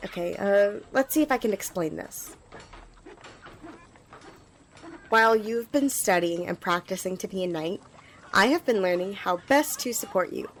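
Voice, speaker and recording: A woman's voice: mid-range voice, a little hiss or hum, natural voice, rough recording. A woman playing an eager, apologetic young squire in an ASMR fantasy roleplay, rambling and stammering as she speaks to the listener. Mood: polite, eager. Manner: rambling, hesitant, stammering, giggly. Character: eager, flustered young female magic-wielding squire, apologetic.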